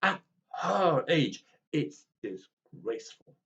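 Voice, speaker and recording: A man's voice: on the high side, sing-song, slow pace, gravelly, fairly bright tone, recorded from a distance, dull audio, a little hiss or hum, pitched above the performer's own voice, full character voice. A man voicing prim, disapproving old grannies who scold and tut in a children's storybook. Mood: scandalised.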